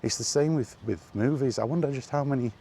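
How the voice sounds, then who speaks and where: A man's voice: medium pitch, a little fast, gravelly, dark tone, slight background noise. A man rambling casually in a weary, grumbling way, as in a freewheeling solo vlog. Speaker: vlogger. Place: walking while talking, ambient noise behind.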